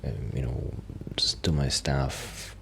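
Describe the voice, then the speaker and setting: A man doing a soft-spoken, half-whispered ASMR roleplay as a shy, quiet musician friend, rambling hesitantly and interrupting himself. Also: rumbling low, fairly even intonation, takes it slowly, gravelly, full character voice. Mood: modest, resigned.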